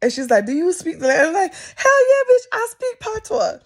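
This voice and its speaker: A woman's voice: extremely high pitch, sing-song, fairly quick. A Jamaican woman vlogging to camera in a casual storytime chat, her voice jumping up when she quotes people. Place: office.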